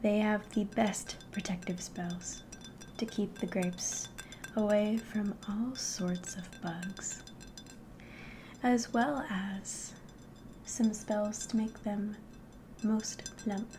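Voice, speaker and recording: A woman playing a friendly, curious innkeeper in an ASMR roleplay, speaking softly and politely, often slipping into a whisper. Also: medium pitch, fairly even intonation, background noise. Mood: hospitable, gently chatty. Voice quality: soft, breathy, often whispering. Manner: gentle, unhurried, polite, hospitable. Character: friendly, curious female innkeeper of a fantasy tavern.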